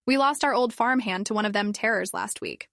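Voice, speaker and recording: Gruff blunt farmer imp dad, as a woman reads him, dry close sound. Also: medium pitch, a little fast, a bit bright, no echo.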